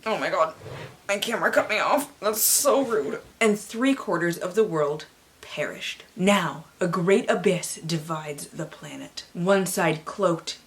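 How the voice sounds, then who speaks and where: Playful, theatrical woman book vlogger, lowish voice. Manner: playful, self-interrupting asides, theatrical swings. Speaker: book vlogger and channel host. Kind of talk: casual chatty book haul vlog with hammed-up dramatic blurb readings.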